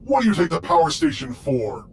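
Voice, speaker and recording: A man's voice: a little high, sing-song, fairly quick, creaky, slight background noise. A man playing a brash, dismissive henchman guard in a video game. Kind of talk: video game cutscene acting. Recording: game music behind the voice.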